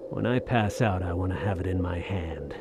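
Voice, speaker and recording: Tired tough adventurer, lowish voice, folksy slang, grim, audio drama w/ noisy ambience behind. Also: male voice, normal speed. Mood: resigned. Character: weary, hard-bitten Western soldier of fortune, lost in desert.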